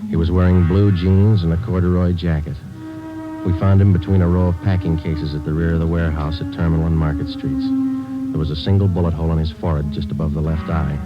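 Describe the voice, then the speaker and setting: An actor playing a dedicated police detective sergeant in an old radio crime drama, clipped, deadpan and matter-of-fact. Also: male voice, low-pitched, fast, lightly acted. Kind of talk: 1950s radio crime drama acting and narration. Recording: dull old radio recording, music behind.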